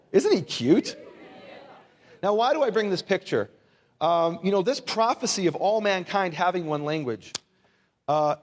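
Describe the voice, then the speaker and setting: A man who is a native English speaker, giving an informal Bible lesson to a live audience in a conversational, wryly humorous manner with rhetorical questions. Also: a little high. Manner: conversational, wry humour, rhetorical questions, asks listeners to repeat words. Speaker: Bible teacher and lecturer.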